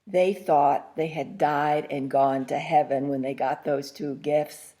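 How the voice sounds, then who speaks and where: A woman reading a first-person holiday memory aloud in short, plain phrases with pauses. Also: deep voice, steady tone, warm tone, low-quality recording. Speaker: story reader.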